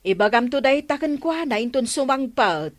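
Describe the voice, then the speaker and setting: A woman's voice: high-pitched, animated, normal speed, dry recording. A woman playing a village woman who coaxes and advises in a comedy radio drama. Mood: advising.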